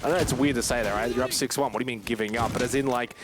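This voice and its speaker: A man's voice: medium pitch, steady tone, very fast. A man commentating a live esports match in a conversational, informal way, with asides to listeners. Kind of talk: live game commentary and casual banter. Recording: clear game noise behind commentary. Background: video game sound.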